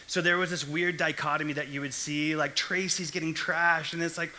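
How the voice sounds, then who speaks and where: A man's voice: on the high side, fairly even intonation, a little fast, pushed and bright. A young man from Texas giving a casual, joking sermon to a young adult church group. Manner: chatty, jokey asides. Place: church hall stage.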